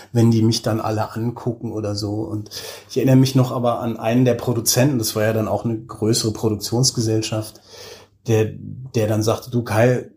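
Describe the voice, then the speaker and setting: A man with a mid-pitched voice talks as a guest in a relaxed interview. He tells things in an anecdotal, colloquial way, with a self-deprecating touch. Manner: colloquial, self-deprecating, acts out quoted remarks. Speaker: interview guest, audio drama director. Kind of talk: casual interview talk, anecdotal.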